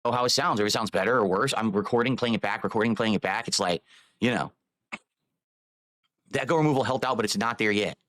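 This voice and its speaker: A man's voice: lowish voice, quick pace, long pauses, a bit bright, no echo, clean studio sound. A man live-streaming, rambling while he fiddles with his microphone and audio settings.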